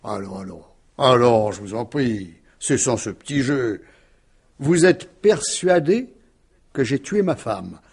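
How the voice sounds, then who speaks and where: An actor playing a middle-aged, urbane and defiant murder suspect in a radio mystery, with an ironic, exasperated manner. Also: male voice, medium pitch, lively intonation, slow, breathy edge, exaggerated voice. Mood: coolly defiant. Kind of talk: 1950s French radio drama acting.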